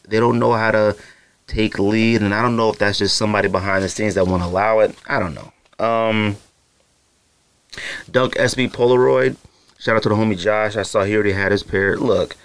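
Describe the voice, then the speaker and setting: A young man hosting a podcast, talking casually and rambling, with many fillers and asides. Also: fairly low pitch. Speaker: podcast host. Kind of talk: casual solo podcast talk.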